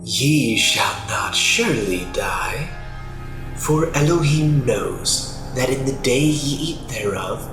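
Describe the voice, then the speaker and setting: A man voicing a sly, slow-talking serpent. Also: medium pitch, lively intonation, roomy sound, poor audio. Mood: coaxing. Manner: slow, drawn-out, coaxing delivery. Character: sly, cunning serpent tempter, male. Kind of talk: dramatized scripture audio drama. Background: background music.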